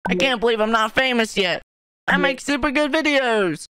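Woman doing a whiny cartoon boy, begging, high and sing-song, with music behind. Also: animated, moderate pace, natural voice. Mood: pleading. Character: whiny boy craving fame, pleading.

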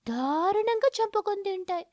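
A woman voicing a clever, frightened little sparrow in an animated storybook tale, pleading and giving solemn warnings. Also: very high voice, moderate pace, no room sound, clean studio sound, pitched above the performer's own voice. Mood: anxious warning.

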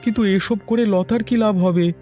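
A man plays a gullible, touchy village brother in a cartoon. His voice sits high for a man, with a mellow, dark tone and a plaintive, indignant delivery. Light music runs behind it. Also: fairly even intonation, normal speed, lightly acted. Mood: troubled, puzzled. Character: gullible, aggrieved married village brother, easily riled. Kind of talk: cartoon voice acting.

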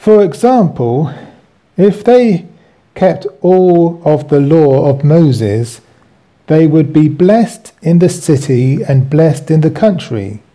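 A man hosting and reading from his own book with commentary, in deliberate, halting phrases. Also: a little high, expressive, dark tone, poor audio.

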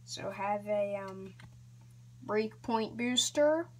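A woman hosting a casual card-opening vlog, talking in a hesitant, rambling way with many ums and restarts, sometimes dropping to a soft whisper. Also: mid-range voice, slow, slight background noise. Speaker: channel host.

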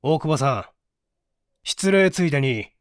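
Man acting a stern, deliberate police detective. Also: on the high side, expressive, dry recording, studio quality. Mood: cool, polite. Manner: formal, deliberate, commanding. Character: stern, composed, formal male police detective.

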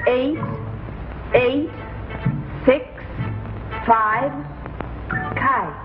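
A commanding queen of villains from a 1950s television space serial. Her voice sits fairly high and she reads each word slowly and formally. The old soundtrack is very muffled, with music behind her. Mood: cold, intent. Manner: formal, deliberate, measured reading.

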